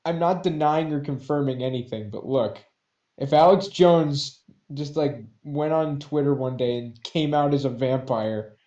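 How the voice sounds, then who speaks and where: A man's voice: fairly high pitch, measured pace, mellow, muffled recording. A man co-hosting a podcast in casual comedic banter, jokey and prone to acting out bits. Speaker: podcast co-host. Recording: roomy, echoing sound.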